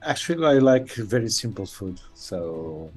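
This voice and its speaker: A man's voice: fairly low pitch, very animated, unhurried, music in the background. A man from Portugal, a guest trader and chef, chatting casually and searching for words. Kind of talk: casual chat.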